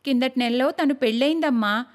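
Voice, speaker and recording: A woman voicing a demanding, sharp-tongued, scheming young married daughter in a cartoon moral story. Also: sits high, fairly quick, natural voice. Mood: wheedling, smug.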